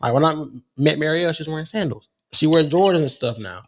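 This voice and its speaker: A man's voice: fairly high pitch, quick pace. An American man speaking casually as a panelist on a podcast. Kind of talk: casual chat.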